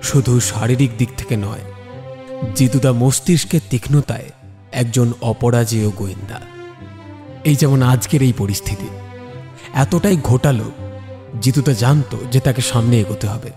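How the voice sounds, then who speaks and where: A man's voice: mid-range voice, normal speed, pauses often, mellow. A man giving dramatic scripted narration of a detective story. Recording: long reverb, music underneath.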